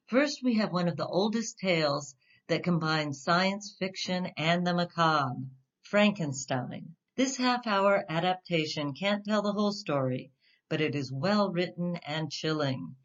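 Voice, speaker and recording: Lower-pitched woman hosting, slightly dull sound. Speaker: radio show host. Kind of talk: scripted introduction.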